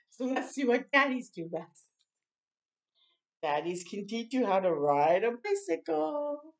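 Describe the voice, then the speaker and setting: An older woman hosting a children's storytime, reading a picture book aloud slowly and gently, pausing to react to the pictures. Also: high voice. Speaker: storytime host. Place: small room indoors.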